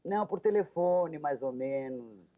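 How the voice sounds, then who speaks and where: A woman's voice: low-pitched, expressive, unhurried. Older São Paulo woman with an Italian-tinged accent. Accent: São Paulo Italian-immigrant accent. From São Paulo, Brazil. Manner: emphatic, repeats herself, chuckles. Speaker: guest. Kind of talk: interview answers. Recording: narrow, phone-like 1990s TV audio.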